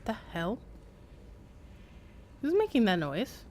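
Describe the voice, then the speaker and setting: A woman's voice: a little high, huge pitch swings, slow pace, darkish tone. A woman streamer giving casual live game commentary in a wry, rambling, chatty way.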